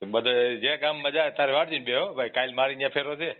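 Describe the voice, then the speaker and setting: A man's voice: mid-range voice, steady tone, fast. A man with a Kathiawadi Gujarati accent chatting casually on a comic phone call, in colloquial rural banter full of rhetorical questions. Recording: tinny phone call with background noise.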